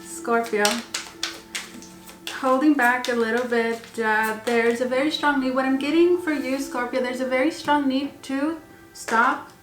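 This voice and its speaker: A woman's voice: high-pitched, fairly even intonation, a little slow, faint music behind. A woman giving a tarot reading to her viewers while losing her voice, rambling and repeating herself with many fillers. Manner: rambling, repeats words with many ums and uhs. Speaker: tarot reader. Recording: echoey room with faint noise.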